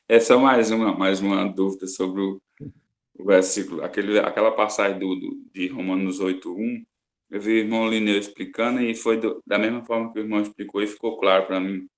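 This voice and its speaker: A man asks his question in a friendly way, stopping to search for words. His voice sits on the low side. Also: steady tone, fairly quick. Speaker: participant asking a question.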